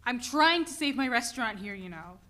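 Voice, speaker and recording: A woman's voice: fairly high pitch, animated, fairly quick, pushed and bright. A woman playing a stressed, driven mother and restaurant owner in a dramatic play reading. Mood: exasperated, defensive.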